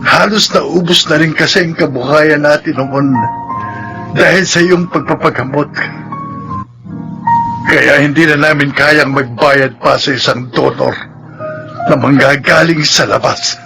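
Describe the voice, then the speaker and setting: A man's voice: fairly high pitch, measured pace, gravelly, pauses often, over music, rough recording. An actor with a Filipino accent playing an earnest, worried father in a radio drama, speaking emphatically and solemnly. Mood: solemn, heavy. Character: earnest, worried father of two grown daughters.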